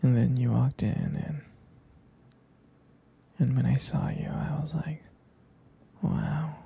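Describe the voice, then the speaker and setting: Guy acting as a sweet smitten boyfriend for asmr, really breathy and crackly, slow halting soft talk. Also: medium pitch, steady tone, dull audio, full character voice. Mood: smitten, shy. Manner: hesitant, halting, gentle. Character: tender, smitten boyfriend reminiscing about a first date. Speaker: ASMR boyfriend roleplay performer. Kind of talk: intimate boyfriend ASMR roleplay.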